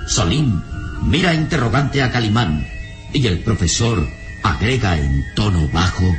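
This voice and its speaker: A man's voice: fairly low pitch, big pitch swings, a little slow. Solemn male narrator over prominent music. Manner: solemn, literary, suspenseful. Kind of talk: dramatic scripted radio drama narration.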